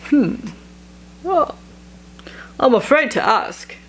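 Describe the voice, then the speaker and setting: A woman's voice: high voice, very animated, slow pace, fairly bright tone, a little hiss or hum, full character voice. A woman reading a children's picture book, voicing an anxious, exasperated elephant in a stammering, dramatic way. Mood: bewildered. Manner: stammering, exclaiming, dramatically dismayed. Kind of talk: expressive children's read-aloud.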